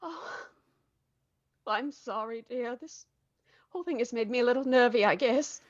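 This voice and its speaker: A woman plays a nervy wife and mother in a radio drama, gentle yet reproachful. Her pleading voice is fairly high. The recording is very dry and slightly dull. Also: moderate pace, no echo, close to an everyday voice. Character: anxious, gentle but chiding wife and mother.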